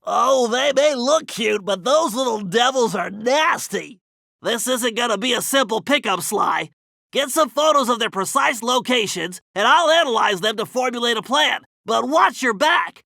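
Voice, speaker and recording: A brainy young male cartoon turtle for a video game. The voice is very high and pinched, with a bright, tense edge and a creaky grain. He explains plans in a fussy, exact, earnest way, leaning hard on key words. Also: expressive, normal speed, dry recording, exaggerated voice. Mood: alert, instructing. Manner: nerdy, precise, earnest, emphatic. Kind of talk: animated video game mission dialogue.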